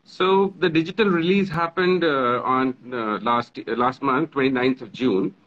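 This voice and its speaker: A man's voice: medium pitch, a little slow, fairly bright tone. A man in his forties, a violinist and composer, talking in a friendly way with fillers on a phone video call. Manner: friendly, runs through lists with fillers. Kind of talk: casual video-call interview. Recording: slightly dull phone audio.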